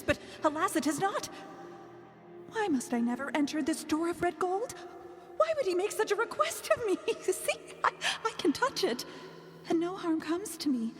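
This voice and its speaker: An actress playing a naive, curious young wife who muses theatrically to herself in an audio drama. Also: female voice, sits high. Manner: theatrical musing aloud. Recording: prominent music under the voice.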